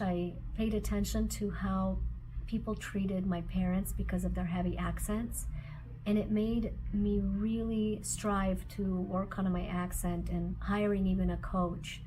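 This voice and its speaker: A woman author whose first language is Russian, giving a reflective personal talk and speaking in measured phrases with pauses. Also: mid-range voice, noisy background. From former Soviet Union. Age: adult with children.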